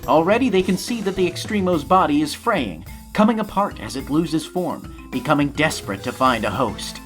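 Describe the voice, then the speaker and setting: Animated, theatrical audio drama narrator. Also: mid-range voice, moderate pace. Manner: vivid, theatrical. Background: music.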